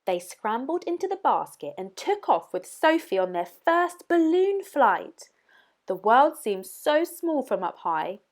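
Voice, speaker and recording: Animated high-voiced woman reading a children's story. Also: unhurried. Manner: animated, dramatic emphasis. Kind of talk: expressive read-aloud storytelling for children.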